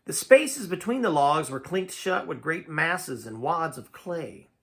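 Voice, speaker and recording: A man's voice: fairly high pitch, expressive, measured pace, gravelly, a bit bright. A man reading a first-person tale aloud in a dramatic, folksy storytelling manner. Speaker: amateur audiobook reader.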